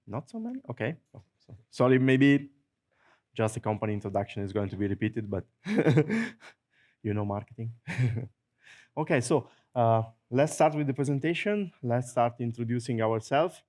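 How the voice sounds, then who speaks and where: A man's voice: medium pitch, big pitch swings, normal speed, a little mellow. Italian guy giving a conference tech talk, cracks jokes, lots of uhs, laughs at his own lines. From Italy. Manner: joking, chuckles at own jokes, many filler uhs. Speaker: conference presenter. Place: conference hall.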